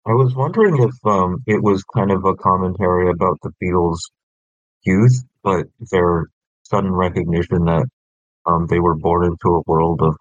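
A man speaking as a podcast guest, offering theories in a hesitant, measured, mock-academic but casual way. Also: deep voice, no echo, highs cut off. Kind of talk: casual mock-scholarly discussion.

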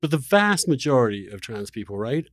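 A man hosting a spirited interview debate, halting and insistent, often repeating words mid-sentence. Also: mid-range voice, very animated, fairly bright tone, no echo. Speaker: interview host.

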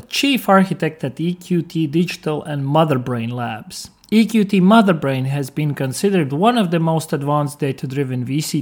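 A man hosting an interview podcast, presenting and talking with his guest. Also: fairly high pitch. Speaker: podcast host.

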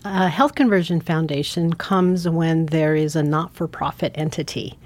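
Low-voiced woman, foundation CEO guest. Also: moderate pace, darkish tone. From San Francisco, United States. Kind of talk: interview answers.

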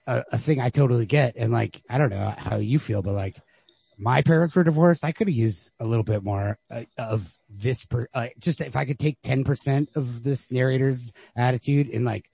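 A man's voice: medium pitch, moderate pace, vocal fry, dark tone, breathy edge, no room sound. A man co-hosting a podcast, discussing literature casually in an informal, emphatic way with some swearing. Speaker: podcast co-host.

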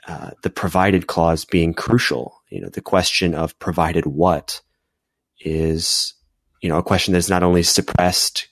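A man on a podcast panel, speaking earnestly and in a measured way, with frequent fillers. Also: low voice, no room sound, studio quality. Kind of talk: reflective discussion.

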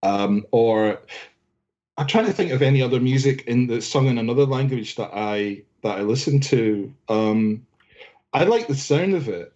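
A man co-hosting a podcast, chatting casually about music in a rambling, animated way with lots of fillers. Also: medium pitch, normal speed, muffled recording. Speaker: podcast co-host. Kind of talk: casual album discussion.

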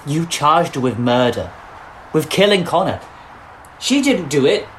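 An actor playing a distraught, bitter suspended policeman in an audio drama, speaking in an anguished, halting way. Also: on the high side, big pitch swings, slight background noise, rough recording. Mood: defensive, anguished. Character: distraught suspended young policeman, bitter, guilt-ridden lover. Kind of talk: audio drama monologue and dialogue.

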